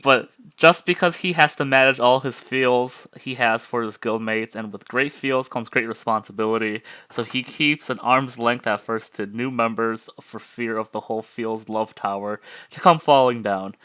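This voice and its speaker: A man's voice: medium pitch, normal speed, bright, forceful tone, clean tone, no echo, rough recording. A man hosting a casual club discussion and reading out comments in a jokey, sweary way. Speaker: host leading an anime club discussion.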